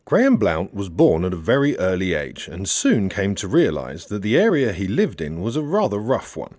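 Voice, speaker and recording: Deep-voiced, expressive male audiobook narrator. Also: normal speed, no room sound. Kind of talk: scripted narration of a satirical novel.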